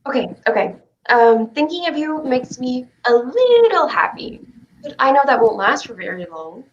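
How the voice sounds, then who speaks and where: Woman playing high-voiced anxious child, muffled stream. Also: big pitch swings, normal speed, a little hiss or hum. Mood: downcast. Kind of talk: staged reading of a play. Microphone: video call. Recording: muffled livestream call audio.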